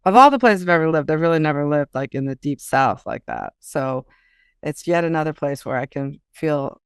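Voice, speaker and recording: A woman's voice: low voice, lively intonation, normal speed, smooth, no room sound, clean studio sound. A woman talking casually as a guest author in an interview, thinking aloud in a chatty, hesitant way.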